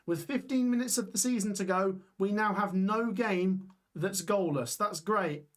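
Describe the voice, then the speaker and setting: A young man hosting a live football watch-along stream, reading out scores and chatting with viewers while his voice gets hoarse. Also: sits high, a little slow. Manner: chatty, reads scores aloud, reacts to chat. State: voice going hoarse.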